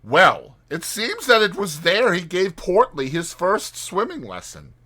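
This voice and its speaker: A man's voice: high-pitched, wildly swooping pitch, a little slow, pushed and bright, pitched above the performer's own voice, exaggerated voice. A man voicing an earnest, worried male water rat in a dramatized storybook reading, speaking in a grave, emphatic way. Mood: grave, tender.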